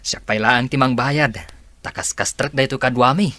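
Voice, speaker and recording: An actor playing a friendly young construction worker in casual audio drama dialogue. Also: male voice, medium pitch, moderate pace, pushed and bright, dry recording, close to an everyday voice. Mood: easygoing.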